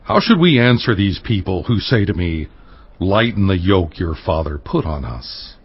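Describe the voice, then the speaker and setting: A man playing a proud, stern king in a dramatized scripture reading, husky and slow in his commanding delivery. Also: low-pitched, big pitch swings, exaggerated voice. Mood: measured, questioning. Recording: dull lo-fi, music behind.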